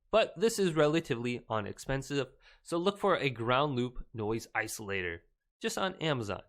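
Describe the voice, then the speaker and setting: A man presenting a casual step-by-step tech tutorial in an upbeat, emphatic presenter's delivery. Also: mid-range voice. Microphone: Blue Yeti desktop microphone.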